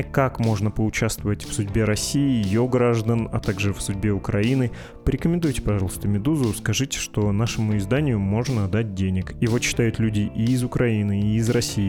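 Man, podcast host, low voice, wry, reading letters, guitar music underneath. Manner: wry, self-deprecating. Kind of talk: scripted podcast outro, reading listener mail.

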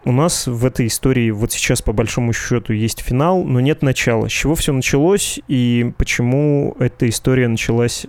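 A man's voice: medium pitch, a little mellow, no room sound. A man hosting a podcast in a conversational style, wordy and wry with playful asides. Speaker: podcast host.

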